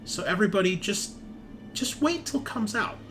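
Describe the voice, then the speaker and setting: A man's voice: high-pitched, lively intonation, normal speed, sharp tone, faint music behind. A man hosting a tabletop roleplaying show, talking casually and bluntly with swearing and emphatic tags like "right?". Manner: ranting, emphatic, punctuating with "right?" and "okay?". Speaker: host and game master of a tabletop roleplaying show. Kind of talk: casual, profane opinionated chat.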